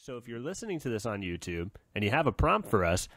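A man's voice: medium pitch, big pitch swings, fast talker, fairly bright tone, no echo, studio quality, faint music behind. A man hosting a comedy podcast, chatting casually and playfully with profanity and bits of silly improvised singing. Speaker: podcast host.

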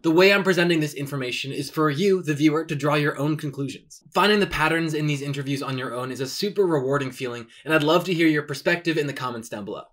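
Young guy talking quick into his webcam, bit high voice, bedroom setup. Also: a bit bright. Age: young adult. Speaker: host and interviewer. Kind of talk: scripted video narration. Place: bedroom with a desk.

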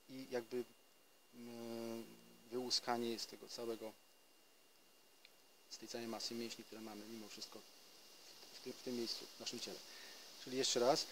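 A man in his thirties explains a breathing exercise for trumpet players in an unhurried, searching way, often stopping to rephrase. His voice sits in an ordinary middle range. Faint insects and open-air ambience surround him, picked up by a camera a few steps away. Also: medium pitch, slight background noise, low-quality recording. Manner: explanatory, with hesitations and restarts. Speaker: trumpet teacher. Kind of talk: casual spoken lesson. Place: outdoors in a forest.

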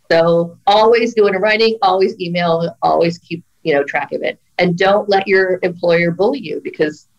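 A middle-aged woman speaks with a gravelly, creaky texture at a medium pitch. She gives emphatic, earnest advice over a webcam video call from her office. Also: lo-fi sound. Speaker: employment lawyer guest. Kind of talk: casual video-call interview. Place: office with brick wall.

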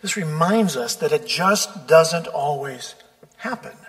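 A man preaches from the pulpit in a slightly high voice. He is measured and deliberate, drawing out key words for emphasis. Long reverb from the church sanctuary trails behind his voice. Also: lively intonation, a bit bright. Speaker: pastor. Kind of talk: sermon.

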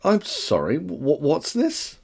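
A man's voice: fairly high pitch, wildly swooping pitch, takes it slowly, gravelly, darkish tone, husky, heavily acted. A man playing a jittery, stammering political advisor. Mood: flustered. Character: nervous male political advisor, snappish.

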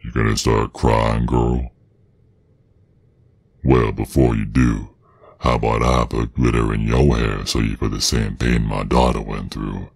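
A man voicing a menacing, protective werewolf father in an ASMR roleplay, with a Southern US accent and a very deep, gravelly, husky voice, drawling out taunts. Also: level tone, measured pace, a little mellow, heavily acted. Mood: sadistic menace.

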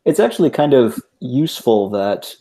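A man giving casual, measured explanations as a podcast guest. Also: medium pitch, expressive, dark tone. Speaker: podcast guest, game designer. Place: online call.

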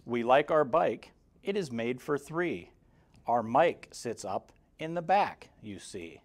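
Lively, unhurried man reading a children's rhyme. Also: medium pitch. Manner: expressive, measured, sing-song delivery with clear emphasis. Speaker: guest story reader for kindergarteners. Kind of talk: reading a rhyming children's picture book aloud. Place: indoor room, seated in front of a banner. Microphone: lapel mic.

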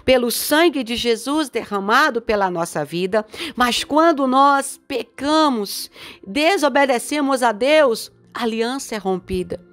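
Slow, earnest Brazilian woman preacher. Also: sits high. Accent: Brazilian Portuguese. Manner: slow, earnest and pleading, rising on the voiced words of God. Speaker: bishop preaching a daily devotional. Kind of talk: devotional sermon over music. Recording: voice-over with soft music beneath.

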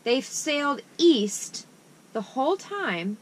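A woman's voice: sits high, sing-song, takes it slowly, slightly rough, low-quality recording. A woman in her thirties, a sailing vlogger, chatting in a mock-serious way and finishing her partner's sentences, from a small wooden boat cabin. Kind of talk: deadpan satirical chat. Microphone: camera in front at close range.

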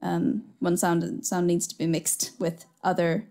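A young woman whose first language is Swedish, talking in a fairly low voice. She chats loosely over a video call from a small room at home, rambling with plenty of fillers. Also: measured pace. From Sweden. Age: young adult. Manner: relaxed, rambling with many fillers. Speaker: podcast co-host, singer. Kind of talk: casual chat. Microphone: headphones with studio mic on video call.

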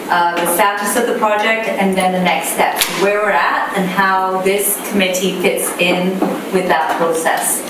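Woman presenter, soft voice, measured delivery; distant mic in an echoey room with noise. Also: fairly low pitch. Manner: measured, explanatory, with frequent fillers. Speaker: city planner presenting. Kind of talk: public meeting presentation. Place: council meeting room.

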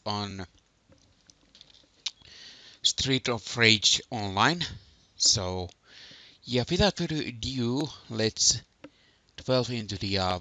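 A young man hosting a casual video game walkthrough, talking in a halting way over game music. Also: lowish voice, bright, forceful tone. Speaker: gameplay video host.

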